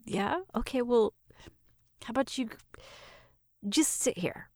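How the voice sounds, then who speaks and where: Flustered, smitten young roommate, slightly creaky woman acting. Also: on the high side, measured pace, no room sound, clean studio sound. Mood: eager, rambling. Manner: nervous, stammering, giggly, self-interrupting. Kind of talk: intimate roleplay audio addressed to the listener.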